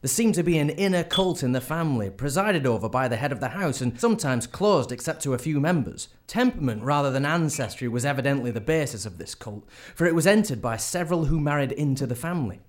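An actor playing a well-informed captain in a radio drama, telling local lore articulately. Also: male voice, mid-range voice, animated, fast talker, few pauses, natural voice. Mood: grave, informative. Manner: articulate, storytelling.

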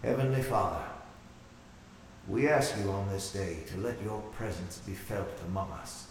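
An actor playing a righteous, authoritative camp preacher, praying and preaching solemnly and emphatically in a horror audio drama. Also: male voice, mid-range voice, creaky. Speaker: preacher leading prayer at a religious camp. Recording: echoey hall, distant mic, faint noise.

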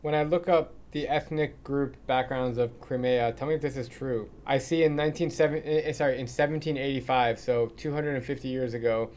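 A man's voice: mid-range voice, fairly even intonation, a little mellow, a little hiss or hum. A man hosting a podcast who asks questions in a curious, easygoing way and reacts openly to the answers. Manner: curious, casual, quick to react. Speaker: podcast host. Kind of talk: casual interview conversation.

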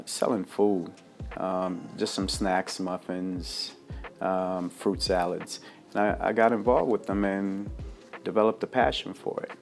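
Low-voiced man, hesitant and relaxed. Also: vocal fry. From Bermuda and Jamaica. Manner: relaxed, hesitant, many fillers and restarts. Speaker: guest, cafe founder. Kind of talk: casual interview chat.

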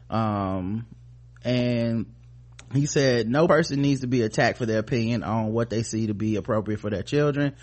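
An American man co-hosting a podcast, casually talking through the news and reading bits of articles in a halting, informal way. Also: mid-range voice. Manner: informal, halting, often trailing off mid-thought. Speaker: podcast co-host.

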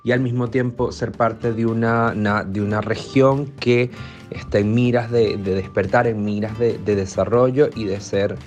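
A man's voice: fairly low pitch, steady tone, unhurried, a little mellow, muffled recording, soft background music. A man with a Venezuelan accent speaking off the cuff, hesitantly searching for his words. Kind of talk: unscripted personal statement.

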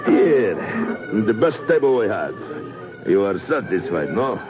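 An actor playing an unctuous, effusive nightclub proprietor in a 1950s radio drama. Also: male voice, fairly low pitch, very animated, unhurried. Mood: oily, welcoming. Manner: oily, effusive, chuckling. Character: unctuous foreign nightclub proprietor, speaks of himself grandly. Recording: muffled 1950s radio recording with music.

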